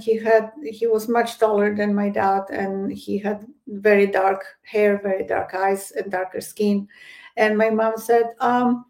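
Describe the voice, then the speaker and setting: A woman's voice: mid-range voice, a little mellow. A woman with a Polish accent, a guest psychic medium, speaking in a hesitant interview style full of fillers and restarts. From Poland. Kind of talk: casual interview chat.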